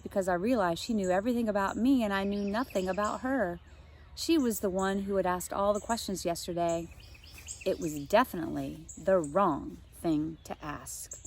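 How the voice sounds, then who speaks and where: A woman teacher reads a children's novel aloud in an expressive, storytelling manner. Her voice sits at an ordinary middle pitch, and she breaks off now and then for easy asides to her listeners. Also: a little hiss or hum.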